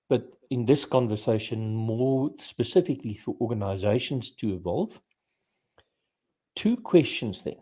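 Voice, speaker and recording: Man, host, live-streamed talk, relaxed questions, mellow voice. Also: medium pitch, slightly rough. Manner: brief affirmations, relaxed questions. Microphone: video call.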